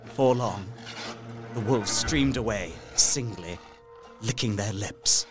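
An actor playing a desperate, haunted young Victorian gentleman trapped in a castle, narrating his journal with intense, deliberate, formal delivery in a gothic audio drama. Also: lowish voice. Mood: horrified, grim. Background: music.

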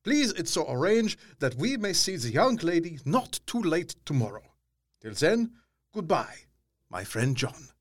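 Lively old professor, kindly and learned, bouncy pitch, dry close sound. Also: male voice, measured pace, dry recording. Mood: formal. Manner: emphatic, swinging between loud and soft. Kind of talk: radio drama acting.

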